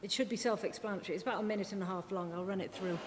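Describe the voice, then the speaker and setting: A woman speech and language therapist giving a chatty conference presentation, full of quick asides and self-deprecating remarks. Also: steady tone. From Wales. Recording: reverberant hall with faint rumble.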